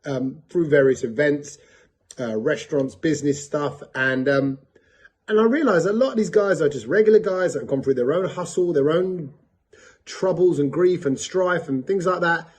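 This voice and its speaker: A man hosting a podcast in a casual, animated, jokey way. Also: fairly high pitch, moderate pace. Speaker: podcast host.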